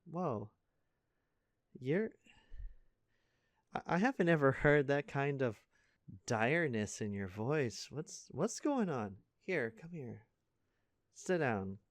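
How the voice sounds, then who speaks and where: A man playing an earnest, caring high school senior in an intimate audio roleplay, speaking casually and haltingly to a best friend. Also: animated, no room sound. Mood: worried, confused. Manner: casual, halting, stammering, conversational.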